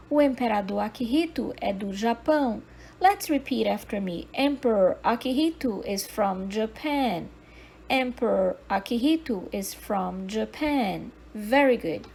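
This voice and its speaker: Slow, deliberate woman teaching English. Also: fairly high pitch, a little mellow. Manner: switches between English and Portuguese, slow deliberate model sentences. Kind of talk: slow scripted language lesson.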